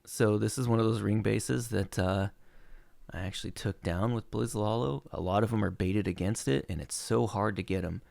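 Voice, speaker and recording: A young man talking over his game replays in a relaxed play-by-play, losing his voice and filling gaps with "uh". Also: on the low side, moderate pace, gravelly, no echo. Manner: relaxed play-by-play with frequent uh fillers. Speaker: gaming channel host. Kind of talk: commentating over gameplay replays.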